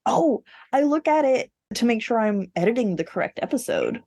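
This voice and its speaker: A woman's voice: fairly high pitch, animated, moderate pace, dark tone, slightly rough, no room sound, clean studio sound. A woman co-hosting a podcast in casual chat, with a wry, self-mocking, joking way of talking. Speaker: podcast co-host.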